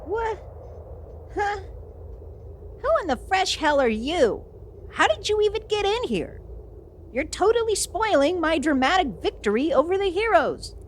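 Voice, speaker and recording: Woman acting a high-voiced, haughty evil sorceress queen. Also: big pitch swings, measured pace, pauses often, slight background noise, exaggerated voice. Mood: baffled, indignant. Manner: imperious, indignant, melodramatic. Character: haughty, easily affronted young female evil sorceress queen. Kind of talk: ASMR audio roleplay acting.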